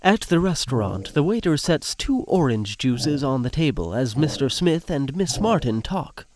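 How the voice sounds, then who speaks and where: A man's voice: a little high, sing-song, moderate pace. A man reading scripted narration for an audio drama. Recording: music under the voice.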